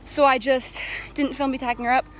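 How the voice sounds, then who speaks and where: A woman's voice: high-pitched, level tone, brisk, slight background noise. A young woman talking casually into a phone camera, rambling with lots of filler words. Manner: chatty, rambling with many "like" and "um" fillers. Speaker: vlogger. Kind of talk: casual vlog chat. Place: outdoors and in a horse barn. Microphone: phone camera held at arm's length.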